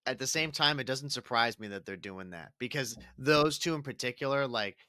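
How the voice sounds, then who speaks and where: A man's voice: mid-range voice, normal speed, pushed and bright, clear, resonant tone, no echo, clean studio sound. A young man co-hosting a casual gaming podcast, laid-back and chuckly, talking while getting over a cold. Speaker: podcast co-host.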